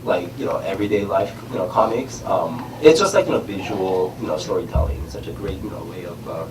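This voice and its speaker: A middle-aged man with a Korean accent, his voice on the low side, talks haltingly and leans on fillers through a head cold. He sounds far from the mic in an echoey room. A faint hiss sits behind him. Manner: halting, many "you know" fillers. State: has a cold, tongue numbed by lozenge. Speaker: startup founder. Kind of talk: casual onstage chat. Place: conference stage in a large room.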